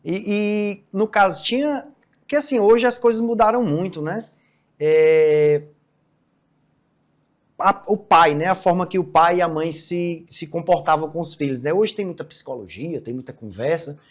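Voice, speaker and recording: A man's voice: fairly high pitch, a little slow. A man in his forties hosting an interview in a deferential way, with frequent "né" tags and restarts. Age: 40s. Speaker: host. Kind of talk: casual interview.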